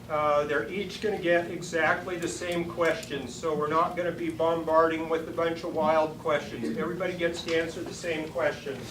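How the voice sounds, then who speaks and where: A woman with a deep voice, chatting informally in a folksy way as she moderates a public forum. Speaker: forum moderator and party chair. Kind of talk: informal public opening remarks. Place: meeting room at a party headquarters. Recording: echoey room, distant mic, clear noise.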